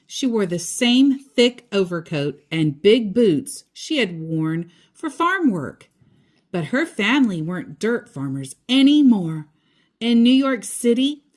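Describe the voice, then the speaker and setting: A woman reading a children's picture book aloud, with simple explanations in casual asides to a young listener. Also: mid-range voice.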